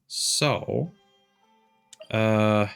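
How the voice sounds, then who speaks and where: A man's voice: fairly low pitch, huge pitch swings, drawn-out words, vocal fry, fairly bright tone, clear, resonant tone. A man streaming a video game, chatting casually as he plays, with game music behind him. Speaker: livestreamer and VTuber. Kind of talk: casual gaming stream chat.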